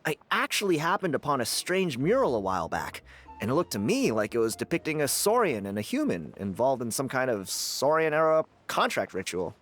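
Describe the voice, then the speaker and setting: A man voicing a friendly, eager, talkative young adventurer in a video game, with a colloquial, animated delivery. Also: mid-range voice, a little fast. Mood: eager, hopeful.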